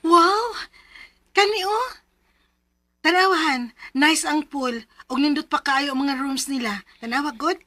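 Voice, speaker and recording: Young mother, Filipino Bisaya accent, high-pitched, bright, expressive radio drama acting. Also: measured pace, long pauses, dry recording, studio quality. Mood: delighted. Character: expressive wife and young mother, easily indignant.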